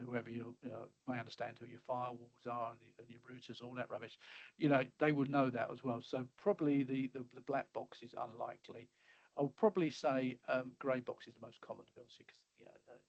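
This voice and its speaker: Halting middle-aged man presenting a webinar. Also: mid-range voice, darkish tone. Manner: halting, many fillers and restarts. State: losing his voice, clearing throat. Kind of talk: casual presentation with slides. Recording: slightly dull lo-fi audio.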